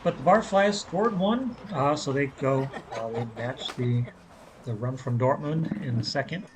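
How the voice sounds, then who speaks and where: A man who is a native English speaker, commentating an amateur baseball game in a relaxed, rambling way with many fillers, speaking as a coach. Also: medium pitch, a little slow, noisy background. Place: ballpark. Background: crowd.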